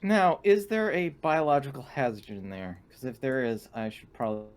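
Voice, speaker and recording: A man's voice: medium pitch, moderate pace, clear, resonant tone. A man playing a tabletop roleplaying game and chatting casually.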